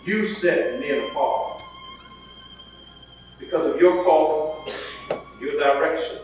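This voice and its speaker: A man leading a slow, solemn public prayer in a church. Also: fairly high pitch, wildly swooping pitch, soft background music. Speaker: pastor. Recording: muffled, echoey hall from far off.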